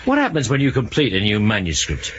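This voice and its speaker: A dogged English police inspector in a 1970s radio drama. He fires off dry, formal questions quickly in a husky voice, deadpan yet varied in pitch. The sound is dull and lo-fi. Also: full character voice. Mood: stern, insistent.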